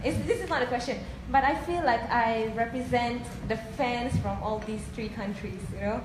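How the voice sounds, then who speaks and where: Nervous high-voiced woman, echoey room. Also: normal speed. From Singapore or Malaysia. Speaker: fan speaking from the audience. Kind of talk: informal personal message. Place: press conference room, on microphone. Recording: echoey room with background noise.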